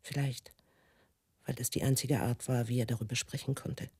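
Embittered wife, radio play, very husky and breathy, gravelly creak, slow, brooding, halting, intense. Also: female voice, very deep voice, fairly even intonation, warm tone, no room sound, studio quality, full character voice. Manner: halting, self-correcting, brooding. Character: bitter wounded wife remembering a faith healer, intense. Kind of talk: radio drama monologue.

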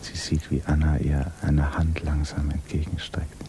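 A man narrating a 1950s radio drama in a hushed, measured, grave and restrained manner. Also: extremely low pitch, level tone. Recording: noisy 1950s radio recording.

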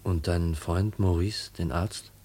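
Low, husky, brooding young man. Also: steady tone, unhurried, darkish tone. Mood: brooding, resolute. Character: brooding, resolute young male heir, gloomy. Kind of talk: 1950s German radio play acting.

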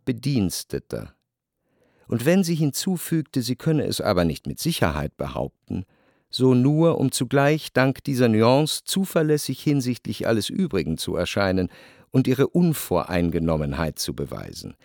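Wry literary narrator, male, mid-range, highly inflected and pointed, close dry sound, radio drama. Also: sing-song, normal speed, vocal fry, darkish tone, no echo. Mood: ironic, analytical. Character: wry, ironic literary narrator of society intrigue.

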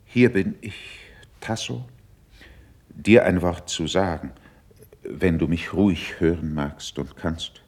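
A man acting a composed, persuasive courtier in a classical verse radio play, with a husky voice and a deliberate, courteous, emphatic delivery. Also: deep voice, a little mellow, exaggerated voice. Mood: calm, courteous. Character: composed, diplomatic male courtier, persuasive and cautious.

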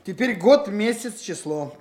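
A senior investigator from a Soviet radio drama. His voice is high for a man and slow-paced. He gives brisk, businesslike instructions and spells things out with patient authority. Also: lively intonation, darkish tone. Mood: businesslike dictating. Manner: brisk, instructing, deliberate dictation. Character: brisk male senior criminal investigator, commanding but patient. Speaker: actor.

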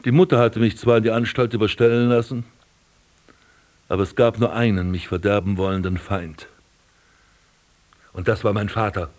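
An actor playing a vain, scornful prince and landscape gardener in a radio drama, speaking in pompous, ornate declamation. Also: male voice, on the low side, steady tone, moderate pace, long pauses, darkish tone, lightly acted. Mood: self-satisfied. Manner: pompous, declamatory, ornate old-fashioned diction. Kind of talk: literary radio drama acting, monologue and dialogue.

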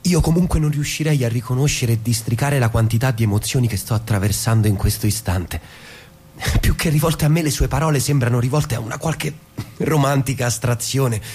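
An actor playing an introspective suburban husband in a radio drama, speaking in a reflective, measured, literary way. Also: male voice, medium pitch, a little mellow, a little hiss or hum, close to an everyday voice. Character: introspective suburban husband, narrating his inner thoughts. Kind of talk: theatrical radio drama, literary monologue and dialogue.

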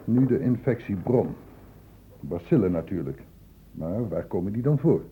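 An actor playing a patient, probing public-health doctor in a radio drama, explaining and questioning insistently. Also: male voice, lowish voice, expressive, moderate pace, breathy edge. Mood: insistent, lecturing. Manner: insistent, explanatory questioning with short pointed prompts. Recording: dull lo-fi old radio play recording.

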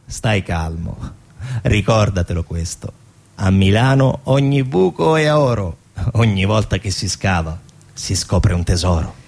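An actor playing a brash, cynical building contractor with shady ties, talking in a colloquial, persuasive way. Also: male voice, fairly low pitch, expressive, a little slow, gravelly, a little mellow, heavily acted. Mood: dismissive. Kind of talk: theatrical dialogue.

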